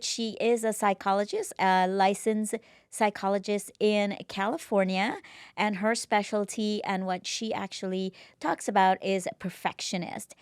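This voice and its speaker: A woman's voice: medium pitch, dry recording, clean studio sound. A woman hosting a conversational interview podcast in an effusive, enthusiastic manner. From immigrant to the US from abroad. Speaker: podcast host.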